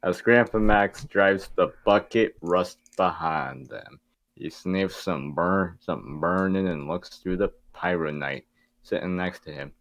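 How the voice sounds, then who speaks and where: A man's voice: low voice, a little slow, a bit bright, no room sound. A man reading stage directions as the narrator of an amateur table read.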